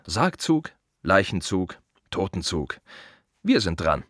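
A man's voice: sits low, very animated, slow pace, a bit bright, dry recording, studio quality, heavily acted. A man playing a plain-spoken gravedigger in a radio play monologue, musing wryly in short, repeated phrases. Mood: matter-of-fact. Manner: reflective, wry, clipped fragments with repeated phrases. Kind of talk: German radio drama monologue, conversational.

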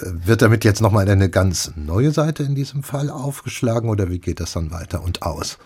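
Male radio host, deep and rough-edged, conversational book-talk delivery. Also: expressive, moderate pace, darkish tone. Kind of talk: conversational book discussion with prompting questions.

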